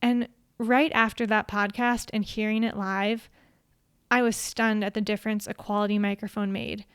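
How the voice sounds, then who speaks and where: Quiet, smooth-voiced woman reading a script. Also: mid-range voice, level tone, moderate pace, no echo, clean studio sound. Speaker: podcast host. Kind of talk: scripted solo talk. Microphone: studio microphone.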